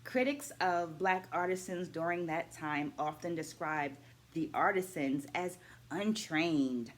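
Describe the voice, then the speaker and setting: Measured middle-aged woman vlogging, lowish voice. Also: lively intonation. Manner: measured, builds points in spoken lists; asks viewers questions and invites comments. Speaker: dance educator sharing book takeaways. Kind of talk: book-discussion vlog talk to viewers.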